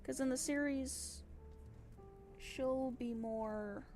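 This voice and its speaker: A woman's voice: high-pitched, fairly even intonation, very slow, darkish tone, soft background music. An American woman streaming and talking casually about voice acting, rambling and playful, often correcting herself. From United States. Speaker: streamer and voice actor. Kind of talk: casual livestream chat.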